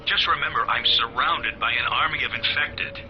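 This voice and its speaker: A man's voice: mid-range voice, moderate pace, natural voice. A man playing a dry, impatient doctor in a video game, speaking over a radio. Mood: wry, tense. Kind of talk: video game cutscene acting. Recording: dull radio link, music behind.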